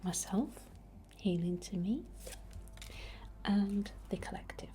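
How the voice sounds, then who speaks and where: A woman speaking in a soft, breathy whisper, gently guiding a soothing, unhurried ASMR healing ritual. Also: medium pitch. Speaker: ASMR host. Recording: faint background noise.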